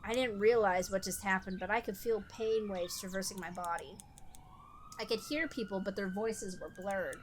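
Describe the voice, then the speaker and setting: A woman's voice: medium pitch, fairly even intonation, normal speed, slight background noise. A woman reading scripted first-person story narration in a subdued, somber manner. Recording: music under the voice. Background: music.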